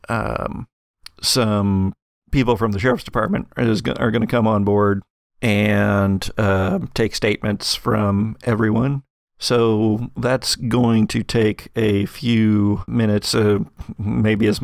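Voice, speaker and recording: Gravelly-voiced man running a tabletop game. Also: fairly low pitch, no echo, clean studio sound. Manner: halting, informal descriptions. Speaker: game master. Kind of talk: casual improvised tabletop game narration.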